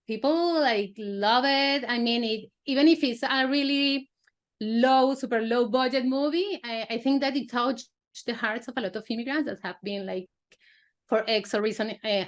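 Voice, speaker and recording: A woman filmmaker from Colombia, a native Spanish speaker, answering interview questions in casual, halting English with many fillers and restarts. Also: fairly high pitch. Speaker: filmmaker guest.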